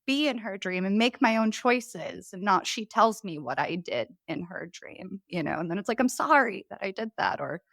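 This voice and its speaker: A woman's voice: mid-range voice, expressive, normal speed, gravelly, few pauses, fairly bright tone, no echo, clean studio sound. A woman raised in Chicago, with a slight Chicago accent, talking casually as a podcast guest, chatty and laughing often. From born in Poland, raised in Chicago. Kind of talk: casual conversation.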